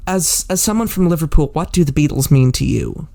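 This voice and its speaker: A man's voice: a little high, lively intonation, fairly quick, vocal fry, mellow, a little hiss or hum. A young man hosting a podcast, chatting casually with fillers and a self-deprecating humor. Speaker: podcast host.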